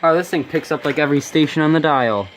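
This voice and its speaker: A man's voice: a little high, quick pace, poor audio. A man giving a casual, off-the-cuff demonstration of a vintage radio as an amateur vlogger. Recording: clear background noise, clicks.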